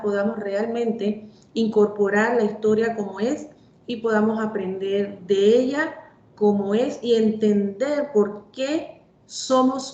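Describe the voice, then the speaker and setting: A woman from Panama with a Panamanian Spanish accent, giving a measured, explanatory talk on a panel. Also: fairly high pitch, darkish tone. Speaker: panel speaker. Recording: echoey, dull lo-fi sound.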